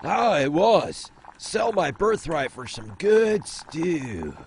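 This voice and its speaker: Aggrieved rugged elder brother , acted by a man: slowish, highish gravelly voice, sardonic, for comic radio play. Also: big pitch swings, slight background noise, heavily acted. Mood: rueful, sardonic. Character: rough outdoorsy elder twin, aggrieved, sardonic, dim. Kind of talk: comic audio drama interview.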